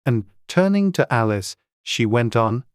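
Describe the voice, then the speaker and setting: A man's voice: medium pitch, sing-song, unhurried, clear, resonant tone, no echo, studio quality. A man reading scripted storybook narration as an audiobook narrator. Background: faint music.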